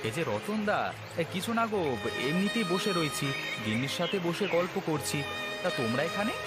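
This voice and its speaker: A man voicing a cheerful, kindly village fisherman in a moral-tale cartoon, chatty and animated in a folksy way. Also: high voice, a little fast. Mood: friendly. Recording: constant instrumental music behind the voice.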